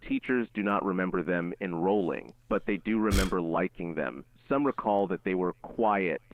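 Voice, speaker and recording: A deep-voiced, solemn broadcast official , acted by a man, delivers measured horror warnings as if they were safety instructions. The sound is dull and muffled, like a lo-fi broadcast. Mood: grave warning. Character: grave official anomaly-warning announcer, adult man. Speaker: narrator of an analog horror broadcast. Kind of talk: scripted horror warning narration.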